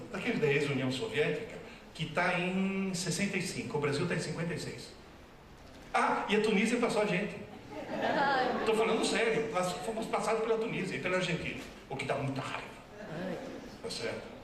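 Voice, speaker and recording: A man's voice: high voice, fairly bright tone, a little hiss or hum. Man lecturing in an echoey hall. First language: Italian. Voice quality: wry, teasing, emphatic. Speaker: lecturing professor. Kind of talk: humorous public lecture. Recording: distant, very echoey hall recording.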